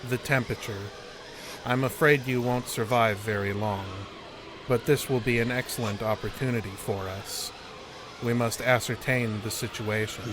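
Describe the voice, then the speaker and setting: A man playing a cold, implacable alien interrogator in an audio drama, speaking in a measured, clinical, detached way. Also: mid-range voice, steady tone, noisy background, lightly acted. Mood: calm, ominous.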